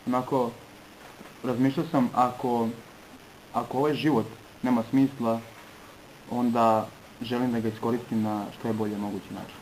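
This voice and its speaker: A man from Serbia giving an earnest personal testimony in short phrases, pausing between them for an interpreter. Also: medium pitch, flat delivery, darkish tone, slight background noise, low-quality recording.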